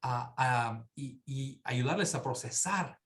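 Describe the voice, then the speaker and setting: A man's voice: mid-range voice, takes it slowly, fairly bright tone. A man moderating a panel discussion, asking questions hesitantly and often repeating words while he thinks.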